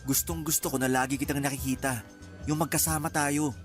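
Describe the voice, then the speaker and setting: A man's voice: mid-range voice, steady tone, a little fast, faint music behind, lightly acted. An actor playing a young male suitor, defensive and apologetic, in a Philippine radio drama. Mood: apologetic, pleading. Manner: pleading, defensive.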